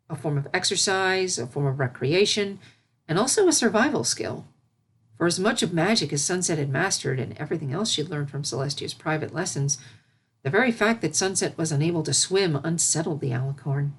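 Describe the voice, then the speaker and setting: Low-voiced woman narrating a story. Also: slightly rough. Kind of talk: scripted storybook narration of fan fiction.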